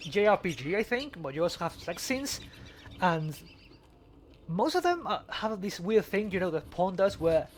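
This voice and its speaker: Higher-pitched man, halting casual chat. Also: sing-song, a little hiss or hum. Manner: halting, repeats words as he goes. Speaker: podcast co-host. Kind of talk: casual chat about video games.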